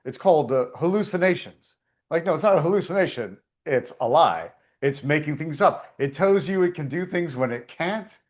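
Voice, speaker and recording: A man's voice: a little high, lively intonation, normal speed. A man hosting an online meeting, presenting in a chatty, storytelling way.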